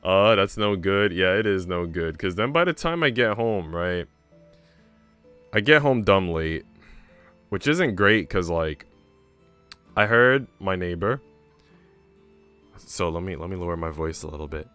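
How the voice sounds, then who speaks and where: A man's voice: deep voice, expressive, a little slow, long pauses, fairly bright tone, clean tone. A man streaming, chatting in a slangy, rambling way between bits of a visual novel he is reading. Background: game music.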